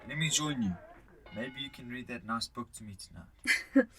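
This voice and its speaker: A man's voice: mid-range voice, animated, normal speed, sharp tone, a little hiss or hum. A man acting a grumpy, blunt elderly husband in an amateur radio drama. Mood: grumbling.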